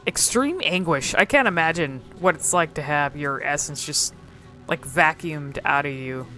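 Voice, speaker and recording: A woman's voice: deep voice, normal speed, pushed and bright, soft background music. A woman hosting casual, unscripted game commentary, musing and thinking aloud in a hesitant way. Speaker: video host exploring game cutscenes.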